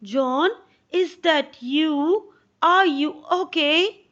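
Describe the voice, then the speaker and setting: A woman's voice: sits high, drawn-out words, a bit bright, highs cut off, pitched above the performer's own voice, full character voice. A woman voicing a loving but firm mother, speaking in a firm, then tender way.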